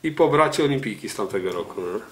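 A man's voice: lowish voice, expressive, fast talker, fairly bright tone. A man in his thirties talking casually and choppily as a pigeon breeder, often tagging his phrases with a question. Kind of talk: casual talk presenting birds. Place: indoor room at a table. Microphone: camera mic a few steps away. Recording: roomy echo, birds cooing.

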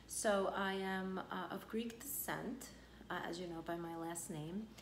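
A woman's voice: fairly low pitch, fairly even intonation, unhurried, slight background noise. Chatty New woman in her forties. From born in Argentina, raised on Long Island, New York. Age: 40s. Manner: chatty, rambling, many fillers. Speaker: real estate broker. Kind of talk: casual personal introduction to camera. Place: home living room, indoors. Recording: echoey room.

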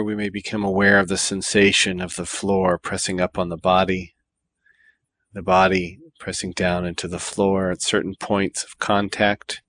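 Meditation guide: male, low register, calm and deliberate, leaving space between phrases. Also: no room sound. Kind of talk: guided body scan meditation.

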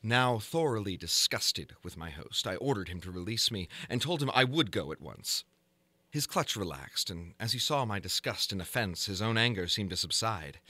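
A man narrating a gothic horror story in a formal, measured, theatrical manner, as in a dramatic audiobook. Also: on the low side, bright, forceful tone, no room sound.

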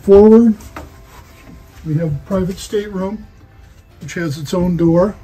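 A man's voice: high-pitched, a little slow, warm tone, soft background music. Older man giving a boat walkthrough. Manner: matter-of-fact, listing features. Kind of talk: walkthrough tour presentation. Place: inside a boat in an indoor showroom.

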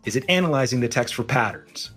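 A woman's voice: rumbling low, animated, fast, over music. A woman quiz contestant putting short scripted questions.

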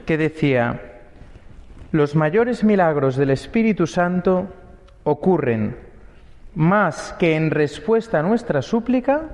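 A young priest from Spain, speaking with a Spain Spanish accent, gives a conversational homily at Mass, full of rhetorical questions and little tag words. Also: male voice, a little high, expressive, slow pace, darkish tone. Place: church. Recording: dull, lo-fi audio with long church reverb.

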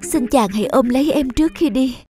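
A woman's voice: high-pitched, a little fast, lightly acted. A woman playing a sorrowful young woman in a dramatic fairy-tale cartoon. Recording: loud music under the voice.

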